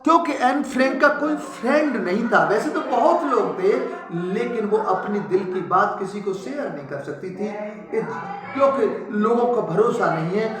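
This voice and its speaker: High-voiced man teaching a storytelling lesson. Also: moderate pace, echoey room, music in the background. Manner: animated storytelling with frequent restarts and sudden emphatic rises. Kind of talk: Hindi lecture retelling a story for students.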